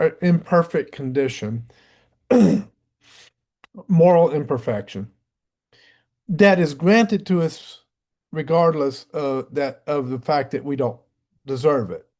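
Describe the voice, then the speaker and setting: A man explaining theology off the cuff in a deliberate, didactic way, with halting pauses and a habit of clearing his throat. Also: fairly high pitch, dark tone. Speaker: religious teacher.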